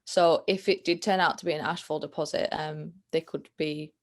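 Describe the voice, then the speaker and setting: A young woman in her twenties with a Yorkshire accent, talking thoughtfully as a guest over a video call, often pausing with ums and restarting. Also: sits low, steady tone. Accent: Northern English, Yorkshire. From England. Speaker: guest, planetary science student. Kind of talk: casual interview chat.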